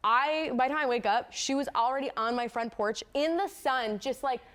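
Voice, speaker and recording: Woman, podcast host, higher-pitched voice, casual sports chat, playful teasing banter. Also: moderate pace, a bit bright.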